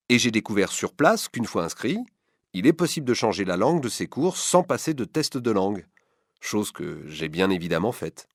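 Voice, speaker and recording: A man's voice: medium pitch, no room sound, studio quality. A man in his twenties from Brittany, France, giving a prepared personal account as a student interviewee. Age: 20s.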